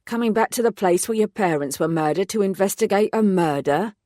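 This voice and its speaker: A woman voicing a blunt, gruff middle-aged man who drinks at the village pub, in a read-aloud story. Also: medium pitch, animated, a little fast, dark tone, no echo, studio quality, natural voice. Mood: grim. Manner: blunt, grim, plain-spoken.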